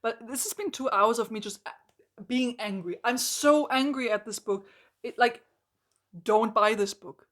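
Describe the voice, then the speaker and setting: A hoarse woman reviewing a book in an exasperated, emphatic rant, full of "like" and restarts. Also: a little high, measured pace, fairly bright tone.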